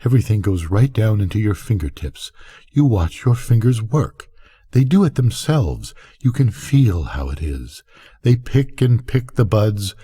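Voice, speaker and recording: Older man voicing an eager, intense farm woman, swooping pitch. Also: mellow, slightly rough, full character voice. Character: eager, intense farm woman, passionate about her flowers. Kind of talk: storybook character reading.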